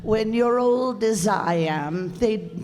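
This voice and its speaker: Woman acting elderly widow, slow and flustered, formal wording, wistful and indignant, radio drama, echoing hall, noisy. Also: medium pitch, darkish tone, lightly acted. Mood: gently chatty. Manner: flustered, stammering, formal old-fashioned speech. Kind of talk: stage radio-play acting. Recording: echoey hall, noisy live stage recording.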